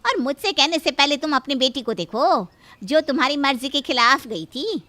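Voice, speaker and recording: A woman's voice: high-pitched, expressive, moderate pace, bright, forceful tone. A woman playing a domineering, greedy middle-aged mother-in-law in a cartoon story, haughty and threatening as she scolds. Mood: defensive, indignant. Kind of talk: Hindi cartoon story acting.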